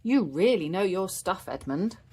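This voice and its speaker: Casting: woman as friendly adult rabbit lady, storybook guide to youngsters, expressive rise and fall. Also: on the low side, measured pace, breathy edge, exaggerated voice. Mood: impressed. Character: kindly adult female rabbit, fossil-hunting guide.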